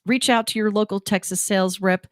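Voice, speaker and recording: A woman's voice: mid-range voice, steady tone, fairly quick, no room sound. A woman from New Jersey with a New Jersey accent, hosting a radio show in casual, rambling chat with guests at a studio table. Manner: chatty, rambling, self-deprecating.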